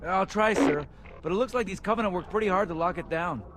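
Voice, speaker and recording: An actor playing a young, uneasy marine grunt in a video game, informal and full of complaints and doubt. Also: male voice, sits high, quick pace, slight background noise. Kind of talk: video game cutscene acting. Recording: loud music over the voice.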